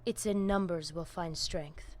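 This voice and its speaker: A woman voicing a commanding, scheming vampire pack leader in a video game, solemn and measured. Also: on the low side, fairly even intonation, slight background noise, close to an everyday voice. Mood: solemn, persuasive.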